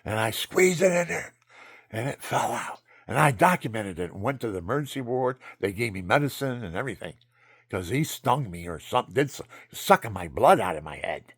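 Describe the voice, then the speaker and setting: A man telling a rambling personal story in a monologue, jumping between loud outbursts and low mutters. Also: lively intonation, fairly quick, breathy edge, no echo, studio quality. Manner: calls himself low-voiced.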